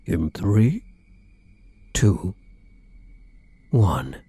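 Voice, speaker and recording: A man's voice: low voice, sing-song, drawn-out words, mellow, slightly rough, no room sound, full character voice. A man playing a possessive, menacing kidnapper groom in an ASMR roleplay, taunting his captive with smug, mock-tender endearments. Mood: smugly commanding. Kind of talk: ASMR roleplay audio drama.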